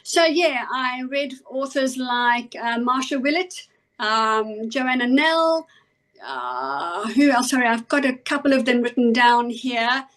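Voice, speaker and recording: South African woman, thoughtful and halting. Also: fairly high pitch, expressive, fairly bright tone. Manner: thoughtful, with frequent ums and pauses. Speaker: guest author. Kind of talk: conversational interview.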